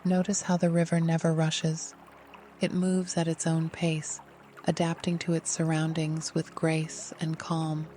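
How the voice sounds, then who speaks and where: A meditation guide giving scripted, gentle, soothing instruction in an unhurried way. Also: mid-range voice, monotone, slight background noise.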